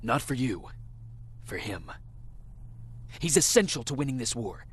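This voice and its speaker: A man's voice: mid-range voice, very animated, a little slow, breathy edge. An actor playing a grimly determined male resistance soldier in a sci-fi game, terse and serious in delivery. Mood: grave, insistent. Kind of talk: dramatic game cutscene acting.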